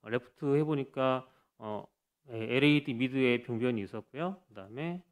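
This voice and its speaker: A man's voice: mid-range voice, normal speed. A man, a cardiologist, giving a case presentation at a conference podium microphone, talking hesitantly with many fillers and restarts. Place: conference hall stage.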